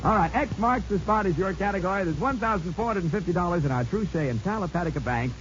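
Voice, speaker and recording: A man's voice: high voice, animated, fast, fairly bright tone, a little hiss or hum. An American man hosting an old-time radio quiz show with folksy, chatty, teasing banter, on a muffled vintage recording. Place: radio studio show with audience.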